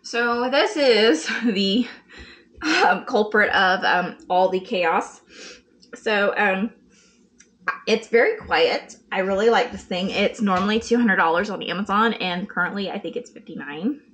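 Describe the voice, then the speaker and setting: A woman's voice: mid-range voice, a little slow, a bit bright. A woman, chatting casually to the camera while she cooks in her home kitchen. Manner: chatty, talks to kids and dogs between asides. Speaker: vlogger and mother. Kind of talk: casual chat while cooking. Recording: background music.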